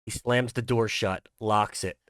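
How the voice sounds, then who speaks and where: A man's voice: lowish voice, steady tone, measured pace, background noise. A man reading dramatic scripted narration for a horror visual novel. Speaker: narrator and creator.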